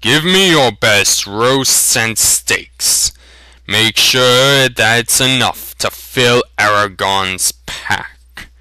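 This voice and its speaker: A gruff but good-humoured village blacksmith acted by a man reading a fantasy audiobook. He speaks slowly and heartily, in a bright, forceful voice with a close, dry sound. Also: mid-range voice, dry recording, heavily acted, poor audio. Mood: firm.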